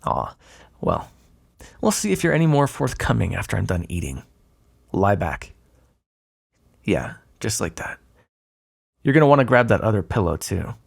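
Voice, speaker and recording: A man playing a smug, predatory vampire in an ASMR roleplay, taunting and flirtatious, with a gravelly, breathy voice. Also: lowish voice, sing-song, moderate pace, pauses often, full character voice. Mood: predatory, commanding. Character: predatory, teasing male vampire, smug and seductive.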